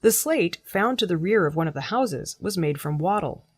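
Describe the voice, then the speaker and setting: Husky, lower-pitched woman reading news. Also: expressive, normal speed, no room sound. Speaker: news reader. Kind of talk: scripted news narration.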